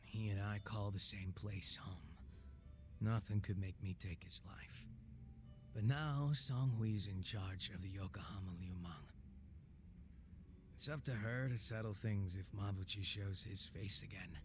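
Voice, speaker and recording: A man's voice: lowish voice, measured pace, natural voice. A man playing a laid-back, sardonic former gang boss in a video game cutscene, talking casually with teasing and profanity. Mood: jaded, candid. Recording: music under the dialogue.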